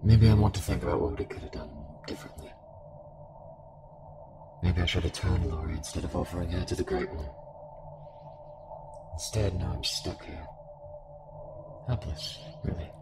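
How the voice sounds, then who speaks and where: Man voicing a very deep, weary cult villain. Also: natural voice. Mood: resigned. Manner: slow, resigned, taunting. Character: weary, menacing male cultist villain in spirit projection. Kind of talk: audio roleplay voice acting. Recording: music behind the voice.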